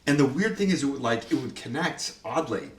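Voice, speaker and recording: A man co-producer chats about his sound design, rambling and filling his sentences with "like". His voice sits mid-pitch. He sounds set back from the mic in a reverberant room. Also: expressive, a little fast, slight background noise. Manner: rambling, full of "like"s. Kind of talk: casual behind-the-scenes chat. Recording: roomy echo, distant mic.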